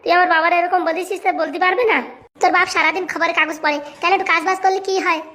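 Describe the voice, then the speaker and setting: Casting: woman as quarrelsome young cartoon wife, very high voice, rapid combative scolding, rural Bengali accent. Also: little pitch movement, sharp tone. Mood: scolding, indignant. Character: sharp-tongued, quarrelsome young village wife. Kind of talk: comic cartoon dialogue in village dialect.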